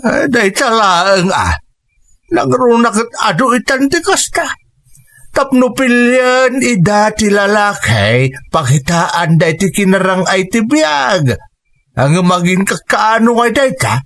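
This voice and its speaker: A man's voice: high voice, expressive, slow, a bit bright, heavily acted. A man playing an older, concerned father in a radio drama, talking in a gossipy, disapproving way about unfaithful husbands.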